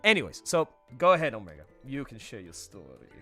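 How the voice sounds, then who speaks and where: A man's voice: medium pitch, sing-song, fairly quick, pushed and bright. A middle-aged man hosting a casual livestream chat, chatty and frank, often checking in with tag questions. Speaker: livestream host. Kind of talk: casual, candid group chat. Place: live online voice chat stream. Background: music playing behind the voice.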